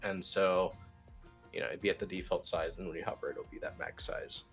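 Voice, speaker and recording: A man in the role of instructor, casually walking someone through a software tutorial in an easygoing, informal way. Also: low-pitched, little pitch movement, a little fast, vocal fry. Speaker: instructor, team lead. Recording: music playing behind the voice. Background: background music.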